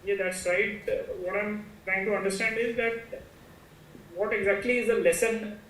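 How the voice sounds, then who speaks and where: A distant, echoey recording of a male student with a high-pitched voice. He asks his question haltingly, searching for words as he goes, with some noise around him. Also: fairly even intonation, a bit bright. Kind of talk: hesitant class question. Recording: distant, echoey, noisy remote microphone.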